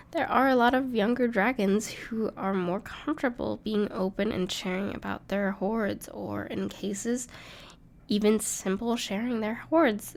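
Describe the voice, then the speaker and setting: A woman playing a gentle, bashful dragon in human form who loves cuddly things, speaking tenderly and reassuringly in an intimate audio roleplay. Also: mid-range voice, vocal fry. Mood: bashful explaining. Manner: tender, reassuring, playful, slightly hesitant. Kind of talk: soft-spoken intimate audio roleplay to the listener.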